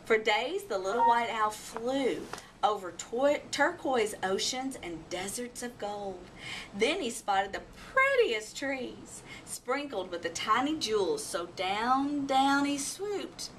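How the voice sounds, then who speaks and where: Animated woman reading a children's story. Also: a little high, slow pace. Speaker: storybook reader. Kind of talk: expressive children's story narration. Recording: distant mic, faint background noise.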